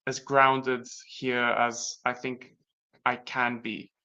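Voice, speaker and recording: A young man from Latvia whose first language is Latvian, talking through personal experiences in a casual conversation, reflective and halting with many restarts. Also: medium pitch, completely flat delivery, pushed and bright, clean tone. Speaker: guest. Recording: dull lo-fi sound.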